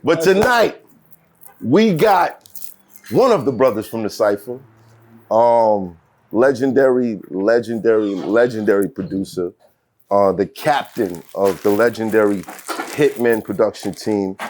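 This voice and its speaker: A man hosting a casual hip hop podcast, loose and joking, heavy on slang and quick to laugh. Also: fairly low pitch, animated, a little mellow. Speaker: podcast host.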